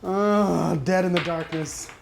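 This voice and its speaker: A man's voice: high voice, fairly even intonation, slow pace, gravelly, soft background music. A man playing a tabletop game, talking casually with the others at the table.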